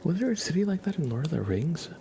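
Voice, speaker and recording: A man hosting an ASMR livestream, speaking softly and casually to viewers in a gentle, rambling, hesitant way. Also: sing-song, quick pace, noisy background. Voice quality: soft, breathy whispering with creaky low undertone. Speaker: livestream host.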